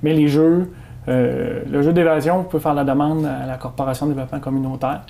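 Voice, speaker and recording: A man's voice: a little high, fast talker, gravelly. A man with a Québécois French accent, a community guest chatting casually in an interview, with many hesitations. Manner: many hesitations, animated when announcing the event.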